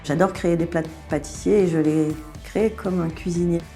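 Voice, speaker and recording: Relaxed chef with a Belgian accent. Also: female voice, on the low side, normal speed, soft background music. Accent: Belgian French. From France. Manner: easygoing, many hesitations. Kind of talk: relaxed interview answers.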